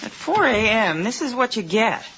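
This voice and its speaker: A woman's voice: fairly high pitch, sing-song, normal speed, noisy background. A woman acting in a radio drama as a tired, wry doctor with a dry delivery, on a muffled broadcast. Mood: weary, exasperated. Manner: dry, weary. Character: tired, wry female doctor on night call. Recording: muffled radio broadcast, crowd murmur behind.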